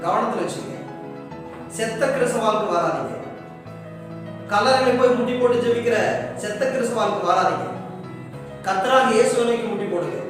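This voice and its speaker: A man's voice: sits high, expressive, moderate pace, long pauses. A man preaching a fervent sermon, exhorting emphatically with repeated rhetorical questions. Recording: echoey hall, distant mic, music behind.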